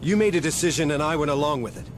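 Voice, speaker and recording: An actor playing a gruff, stubborn commander of space marines in a video game, giving clipped, commanding briefings with dry sarcasm. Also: male voice, a little high, animated, rapid-fire, a little hiss or hum, natural voice. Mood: stern, defiant. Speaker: military commander. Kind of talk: video game cutscene acting and mission briefing.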